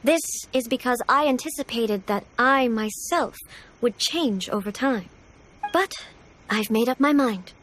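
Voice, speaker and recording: Solemn, high-voiced goddess ruler. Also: female voice, expressive, fairly bright tone, slight background noise. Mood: grave, reflective. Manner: formal, measured, composed. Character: stern, solemn, dignified female immortal deity ruler. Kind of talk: video game voice acting.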